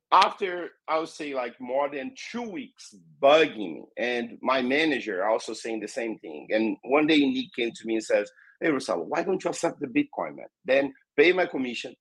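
A Brazilian man in his fifties, a native Portuguese speaker with a Brazilian accent, telling stories as an interview guest, lively and acting out the dialogue. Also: medium pitch, husky, no room sound, low-quality recording. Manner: animated, acts out dialogue, swears casually. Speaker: guest, tech company founder. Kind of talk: casual storytelling interview.

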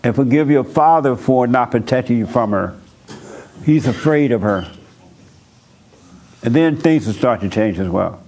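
A man's voice: mid-range voice, animated, measured pace, pauses often, a little mellow, a little hiss or hum. A man hosting a church counseling session, talking bluntly and preachily with a dialect, often laughing at his own points. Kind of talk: blunt counseling talk to a congregation.

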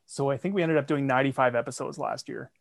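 A man's voice: medium pitch, fast talker, gravelly, dry recording, clean studio sound. A man guest on a podcast, talking about his show in a wry, self-deprecating way. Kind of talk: casual interview.

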